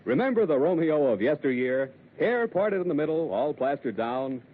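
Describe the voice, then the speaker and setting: High-pitched, animated American radio announcer, male. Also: normal speed, slight background noise. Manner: emphatic, salesmanlike. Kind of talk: 1940s radio announcing and ad read. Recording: tinny old radio broadcast. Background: music.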